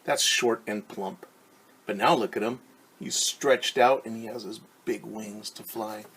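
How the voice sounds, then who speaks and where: A man teaching young children through a story, reading aloud and explaining gently and patiently with questions. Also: fairly low pitch, fairly even intonation, a little slow, husky, rough recording.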